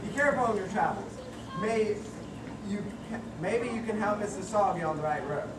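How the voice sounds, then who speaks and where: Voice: medium pitch, expressive, moderate pace, natural voice. An amateur puppet-show performer playing a folksy airport security guard full of corny quips. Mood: kindly. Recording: echoey room, distant mic, crowd noise.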